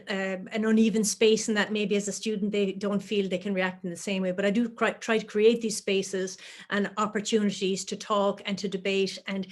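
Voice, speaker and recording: A woman lecturer speaks on an online panel. She pauses often and fills the gaps with "um" and "uh" as she thinks. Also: medium pitch. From Ireland. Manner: hesitant, many "um" and "uh" fillers. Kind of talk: panel discussion.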